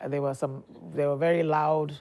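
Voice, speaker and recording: A woman's voice: low voice, flat delivery, moderate pace, warm tone. A woman pastor in her fifties from Nigeria, chatting as an interview guest, laughing often and restarting her sentences. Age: 50s. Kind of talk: casual interview talk.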